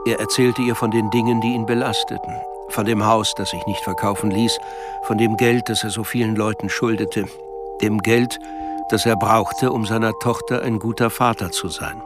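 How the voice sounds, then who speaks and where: A man's voice: fairly low pitch, steady tone, normal speed. A man reading literary scripted narration for a radio drama. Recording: music behind the voice.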